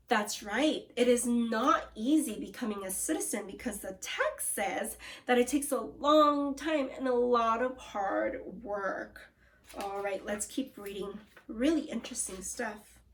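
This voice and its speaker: Female elementary teacher, higher-pitched voice, read-aloud lesson style, encouraging, cueing young listeners. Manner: encouraging, prompting listeners with questions and cues.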